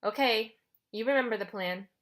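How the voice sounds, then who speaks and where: A woman's voice: on the high side, normal speed, fairly bright tone, natural voice. A woman voicing a brave, determined young boy hero in an audiobook, direct, earnest and a little cheeky.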